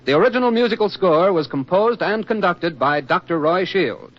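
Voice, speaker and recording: A dull vintage radio recording. An American male announcer reads scripted credits in a fairly high, lively voice. His delivery is formal and enunciated in the 1940s manner. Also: moderate pace.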